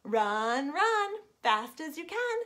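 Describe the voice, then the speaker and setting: A woman's voice: high voice, big pitch swings, takes it slowly, bright, forceful tone, voice pushed up, full character voice. A woman voicing a cheeky, boastful gingerbread cookie boy who taunts in a sing-song chant in a storybook tale. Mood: taunting.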